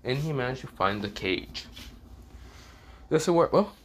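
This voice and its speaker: A man who is sick and coughing, telling a fan fiction story in a rambling, halting way, often restarting with "this is where, well". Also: mid-range voice, lively intonation.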